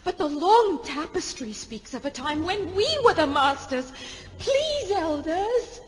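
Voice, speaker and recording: A woman's voice: very high-pitched, big pitch swings, slow, full character voice. A woman playing a grieving, defiant young noblewoman in an audio drama, speaking formally and with passion. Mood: pleading. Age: young adult. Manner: formal, impassioned. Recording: long hall reverb.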